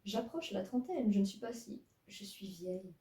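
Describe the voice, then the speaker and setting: A woman voicing a lovestruck witch journalist who speaks hushed and hesitant, recorded in a roomy room from a distance. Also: mid-range voice, lively intonation, moderate pace, close to an everyday voice. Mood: tender.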